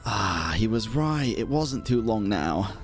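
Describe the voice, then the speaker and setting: A man's voice: fairly low pitch, very animated, slow pace. A man reacting to a video game as a streamer, casual, slangy and sweary. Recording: faint game music behind. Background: video game music.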